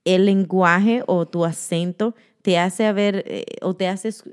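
A woman's voice: fairly low pitch, steady tone, slow, smooth. Candid bilingual woman chatting. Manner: candid, laughs often, mixes in English words. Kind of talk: casual conversation.

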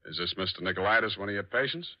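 An actor playing a wisecracking hard-boiled private detective, terse and deadpan, in 1940s radio style. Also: male voice, lowish voice, racing, dry recording, natural voice. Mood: terse, sardonic. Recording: muffled, dull 1940s radio recording.

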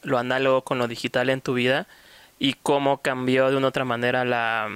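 A man co-hosting a casual interview over a video call, with animated, quick asides. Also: medium pitch, clear, resonant tone, no echo. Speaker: co-host.

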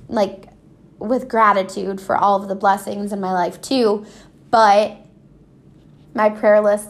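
A woman chatting casually and unscripted as a solo host, rambling informally, with a stuffy, slightly scratchy voice congested from allergies. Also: mid-range voice. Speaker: podcast host. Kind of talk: casual solo chat about personal habits.

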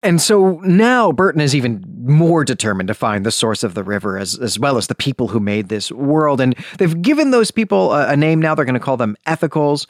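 Animated man podcaster with very lively intonation. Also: mid-range voice, brisk, few pauses, no echo, clean studio sound. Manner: animated storytelling with casual asides. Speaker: podcast host. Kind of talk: conversational book summary and commentary.

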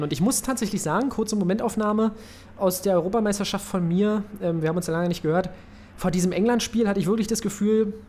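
A man's voice: sits high, darkish tone, slight background noise. A man co-hosting a podcast, talking football casually and hesitantly with many fillers. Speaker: podcast co-host.

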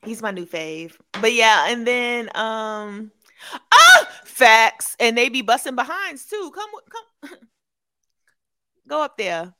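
A woman's voice: a little high, big pitch swings, slow, sharp tone. A young woman co-hosting a casual sports chat, playful and teasing, laughing often. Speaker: co-host.

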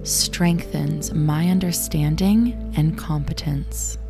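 A young woman with a low, raspy voice guides listeners through calm affirmations, speaking slowly and soothingly. Gentle music plays clearly underneath her throughout. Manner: slow, soothing affirmation reading. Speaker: affirmation and meditation host. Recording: clear music bed behind voice.

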